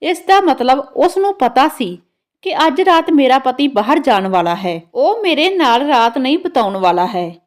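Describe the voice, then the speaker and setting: A woman's voice: high-pitched, sing-song, normal speed. A woman narrating a scripted first-person story in a dramatic storytelling manner.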